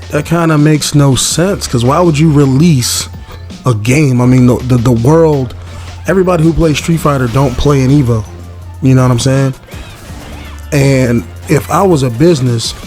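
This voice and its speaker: A young man with a hoarse voice, giving a casual, rambling gaming commentary full of fillers and restarts. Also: mid-range voice, a little mellow. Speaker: gaming video host.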